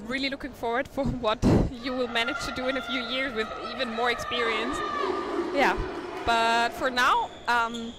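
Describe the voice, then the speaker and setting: A woman's voice: a little high, steady tone, normal speed, a loud crowd. Chatty woman commentating live sport. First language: not English. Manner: chatty, thinks aloud, stumbles on names. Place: indoor sports hall. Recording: noisy sports hall ambience. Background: bouncing balls and hall noise.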